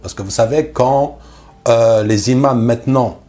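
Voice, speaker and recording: A man's voice: medium pitch, unhurried, dark tone, soft background music. A man from Algeria, a missionary guest, telling his personal religious testimony in short phrases with rhetorical questions.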